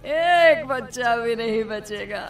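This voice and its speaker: A wicked storybook witch who preys on children. She speaks slowly and gloatingly, stretching her words and breaking into cackles, with music playing behind her. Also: a little high, expressive. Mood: sinister, triumphant. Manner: gloating, drawn-out delivery with cackling. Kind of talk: dramatized Hindi moral story.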